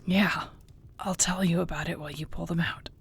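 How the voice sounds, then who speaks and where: Husky, low-voiced battered orc girlfriend, acted by a woman. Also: fairly even intonation, brisk, exaggerated voice. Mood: wry, sore. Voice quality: husky, breathy, gravelly. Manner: sighing, conversational, wearily understated. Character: battered tough orc girlfriend, affectionate and wry. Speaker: audio roleplay voice actor. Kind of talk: intimate one-sided audio roleplay.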